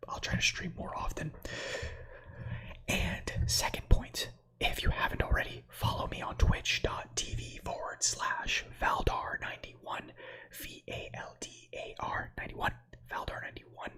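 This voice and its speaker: A man in his thirties whose first language is Slovak, whispering softly as an ASMR streamer who reads space facts with chatty, meandering asides to viewers. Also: measured pace. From United States. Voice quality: breathy whisper.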